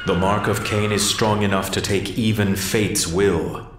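An actor playing a grave, ominous mystic in a video game, with a portentous manner. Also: male voice, on the low side, steady tone, measured pace, echoey room, very noisy, close to an everyday voice.

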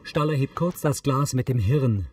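A man's voice: medium pitch, normal speed, a little mellow. A man reading scripted narration that describes the scenes of a TV film.

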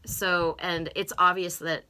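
A woman's voice: fairly low pitch, flat delivery, slow pace, sharp tone. A middle-aged American woman in casual conversation, speaking expressively with emphatic repetitions, recorded on a desk microphone in a small home room. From United States. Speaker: interviewee, co-host. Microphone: desk microphone on stand.

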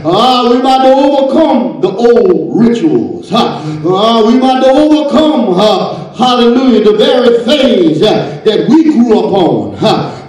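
A middle-aged man preaching a sermon from a church pulpit into a handheld microphone, punctuating every phrase with "uh" and "praise the name of God," often shouting. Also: very high-pitched. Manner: shouting, gesturing with raised hand. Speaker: pastor. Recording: very echoey church hall, from a distance.

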